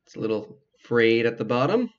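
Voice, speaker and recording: A man's voice: mid-range voice, very animated, fairly quick. Casual man commentating, slightly muffled mic. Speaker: gameplay video host. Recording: slightly dull lo-fi mic, clicking.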